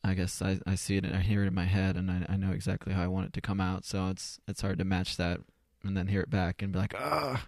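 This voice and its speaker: Low, monotone young man, halting delivery. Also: gravelly, no room sound, clean studio sound. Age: 20s. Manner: halting, repeats words, self-effacing. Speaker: podcast guest, poet. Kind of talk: casual, unscripted interview answers. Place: converted garage home studio.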